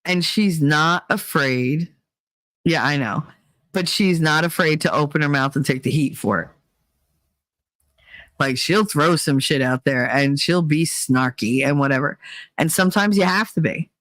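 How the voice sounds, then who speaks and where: A woman's voice: sits low, a little slow, fairly bright tone, no room sound. A woman hosting a vlog, giving blunt, rambling opinions with plenty of swearing. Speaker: vlog host. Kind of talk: casual opinion monologue.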